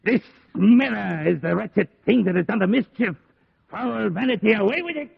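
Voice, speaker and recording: Sinister male vampire aristocrat, slow delivery that swoops theatrically, thin vintage radio sound. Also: high-pitched, animated, vocal fry, breathy edge, dry recording, narrow, tinny audio, full character voice. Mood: fierce. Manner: slow, theatrical, menacingly deliberate. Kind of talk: old-time radio horror play acting.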